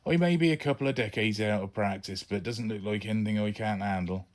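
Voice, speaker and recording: A man's voice: fairly low pitch, fast talker, voice pushed up, natural voice. A man voicing a middle-aged, roguish space pirate father with folksy, colloquial talk in a children's audiobook. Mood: confident.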